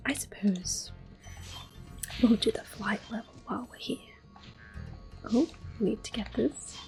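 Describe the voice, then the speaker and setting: A woman giving gentle, soft-spoken ASMR gameplay commentary in a breathy whisper. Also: mid-range voice. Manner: gentle, unhurried. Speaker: gameplay host. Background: soft game music.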